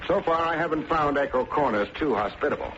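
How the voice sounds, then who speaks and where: An actor playing a wry, wisecracking American private detective and narrator in an old-time radio drama. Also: male voice, fairly high pitch, lively intonation, a little fast, fairly bright tone. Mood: breezy, probing. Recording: muffled, noisy old radio recording.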